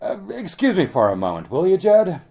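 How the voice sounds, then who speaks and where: A man voicing a genial, flustered gentleman who stumbles politely through his words, in a novel reading. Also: on the high side, wildly swooping pitch, a little fast, pitched above the performer's own voice, full character voice. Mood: genial bluster. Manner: hesitant, stumbling.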